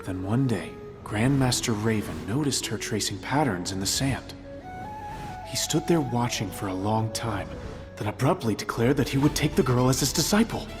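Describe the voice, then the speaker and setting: A man playing an earnest, thoughtful sect disciple who recounts old tales in a measured, dramatic way for a video game. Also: medium pitch, lively intonation, music in the background, lightly acted. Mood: warm reminiscence. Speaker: non-player character giving backstory. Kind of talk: expressive storytelling in video game dialogue.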